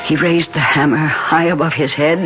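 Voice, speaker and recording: A man's voice: on the high side, steady tone, normal speed, very noisy, lightly acted. An actor playing a horrified young man recounting a vampire slaying in an intense, theatrical radio play narration. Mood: horrified intensity. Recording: muffled 1970s radio broadcast with loud music.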